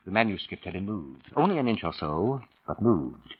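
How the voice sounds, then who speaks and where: A man narrating 1940s radio suspense in a dramatic scripted style, with deliberate pauses and ominous build-up. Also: low-pitched, very animated. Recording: dull vintage radio recording with music.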